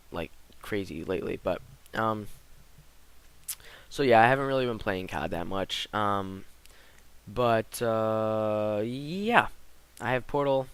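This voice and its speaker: A man's voice: on the low side, fairly bright tone, smooth. Rambling teenage boy with a cold. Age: teens. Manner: rambling, frequent "like" and "um" fillers. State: slightly hoarse from a cold. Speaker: gaming commentator. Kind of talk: casual commentary over gameplay.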